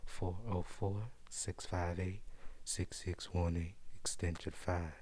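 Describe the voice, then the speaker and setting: Husky low-voiced man doing ASMR news. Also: little pitch movement, slow, darkish tone. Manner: puts on a posh British accent, laughs often. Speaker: news show host. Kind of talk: whispered ASMR-style news chat.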